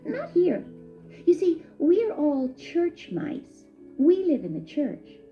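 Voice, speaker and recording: Woman playing a little boy mouse, childlike, very high voice, swooping intonation, excitable, friendly, storybook character. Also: slow, lo-fi sound, over music, heavily acted. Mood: dismissive.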